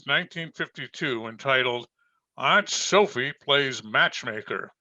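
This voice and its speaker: A man's voice: on the high side, bright, forceful tone, dry recording. A man announcing and narrating an old-time radio show from a script. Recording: dull, narrow video-call audio.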